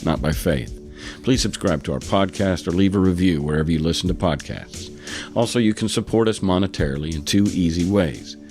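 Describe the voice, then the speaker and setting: A man's voice: deep voice, darkish tone. A man with an American accent reading a scripted podcast introduction as the host.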